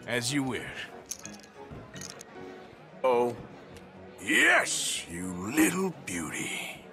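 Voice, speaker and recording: A man playing a gruff middle-aged outlaw who talks in a dry, teasing way. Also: mid-range voice, huge pitch swings, extremely slow, over music, full character voice. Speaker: card player and robber.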